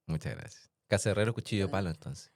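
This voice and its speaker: A man hosting a podcast interview in a casual, chatty way, laughing often and making quick asides. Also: on the low side, very animated, vocal fry, a little mellow, dry recording, studio quality. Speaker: podcast host and massage therapist.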